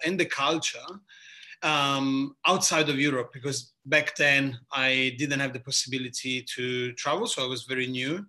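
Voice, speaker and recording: Italian-accented man, thirties, podcast guest, very sharp tense tone, hesitant phrasing. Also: mid-range voice. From southeast Italy. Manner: searching for words, pausing. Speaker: guest, bartender. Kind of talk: casual podcast chat.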